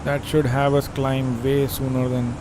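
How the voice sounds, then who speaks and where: A man's voice: mid-range voice, level tone, unhurried, background noise. A young man from India with an Indian accent, chatting casually and joking with viewers while thinking aloud during a live stream.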